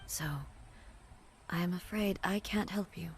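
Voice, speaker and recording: Solemn, dignified game ruler. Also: female voice, lowish voice, fairly even intonation, slight background noise. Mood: regretful. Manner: formal, measured, restrained. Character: solemn, dignified female ruler, reflective and grieving. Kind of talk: dramatic video game dialogue acting.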